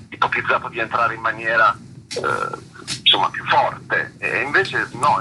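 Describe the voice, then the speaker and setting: A man being interviewed about his theatre work, speaking in an articulate, reflective way and searching for words with pauses and repetitions. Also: fairly low pitch, background noise.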